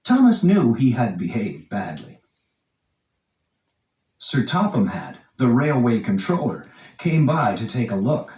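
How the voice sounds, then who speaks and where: A man reading a children's storybook aloud with expressive, dramatic emphasis. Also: medium pitch, unhurried, long pauses. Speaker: storybook narrator. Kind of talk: animated read-aloud children's storybook narration. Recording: roomy, echoing, distant from mic.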